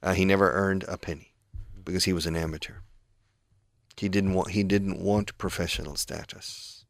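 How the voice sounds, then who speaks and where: A man's voice: low voice, steady tone, moderate pace, gravelly, no echo, studio quality. A middle-aged man hosting a radio English lesson, patiently explaining phrases and switching between two languages. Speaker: English teacher and radio host.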